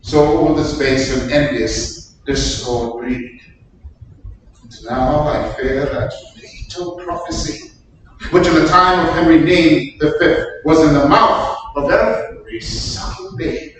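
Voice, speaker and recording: A man playing a grave, brooding English nobleman in a solemn Shakespearean staged reading. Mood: grave foreboding. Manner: slow, solemn declamation. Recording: echoey distant room, faint rumbling noise.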